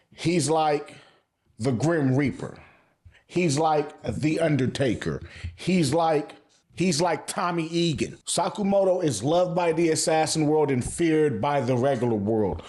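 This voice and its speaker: Guy with a slightly high voice doing casual anime commentary, lots of slang n swearing, repeats stuff to hammer it in. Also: darkish tone. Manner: profane, emphatic, repeats phrases for effect. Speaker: YouTube anime reviewer.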